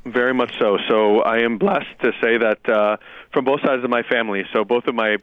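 A Jesuit priest speaking in a radio interview as a guest, reflective and measured, with fillers and restarts. His first language is Lithuanian. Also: medium pitch, steady tone, smooth, dry recording. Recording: phone-like narrow-band radio audio.

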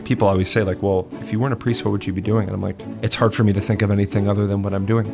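Male priest, low voice, brisk casual testimonial delivery, clear music bed underneath. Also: level tone.

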